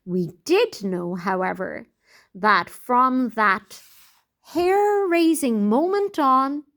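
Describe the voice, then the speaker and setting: A woman teacher reading a children's storybook aloud in a deliberate, expressive way with clear phrasing. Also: sits high.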